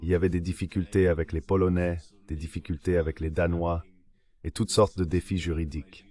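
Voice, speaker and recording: A man with a very low voice delivers a translated voice-over of political commentary. His delivery is measured and read out, like a dubbed interview answer. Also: level tone, warm tone.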